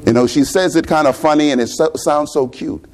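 A man with a Bahamian accent preaches in a loose, talky way. He keeps asking his listeners questions and repeats words now and then. His voice sits a little high for a man. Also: fairly quick, a little mellow. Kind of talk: sermon.

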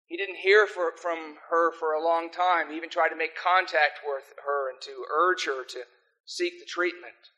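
An older man with an American accent preaches with earnest conviction. His voice sits a little high and rings bright and forceful. He leads prayer and sermon in a pleading, sincere manner. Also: fairly even intonation, moderate pace, low-quality recording. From South Africa. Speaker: pastor.

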